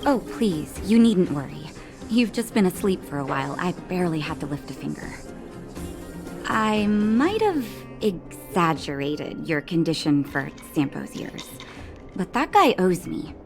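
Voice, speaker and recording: An actress playing a calm, caring woman doctor in an English video game dub, measured and soothing with a gently wry edge. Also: lowish voice, music in the background. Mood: reassuring, wry.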